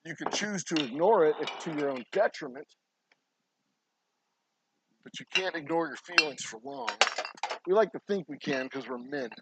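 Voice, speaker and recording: Hoarse middle-aged guy ranting bluntly, voice a bit high, noisy workshop with clicks behind. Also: lively intonation, normal speed, long pauses. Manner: blunt, preachy, swears freely. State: hoarse, sore throat. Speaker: distillery owner. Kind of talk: casual vlog monologue while working. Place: distillery bottling room.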